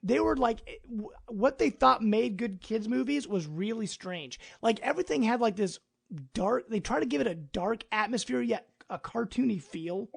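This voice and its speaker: A man's voice: very high-pitched, animated, moderate pace, creaky, husky. A man, around his thirties, hosting a casual podcast discussion in a chatty, emphatic way. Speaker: podcast host.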